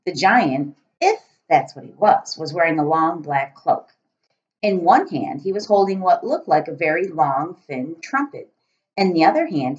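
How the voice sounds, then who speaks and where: A middle-aged woman, a teacher, reading a children's storybook aloud with deliberate, expressive phrasing, recorded at home on a laptop. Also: low-pitched. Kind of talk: storybook read-aloud narration. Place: small room at home, indoors, beside a kitchen. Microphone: laptop webcam at arm's length. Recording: dull lo-fi webcam sound.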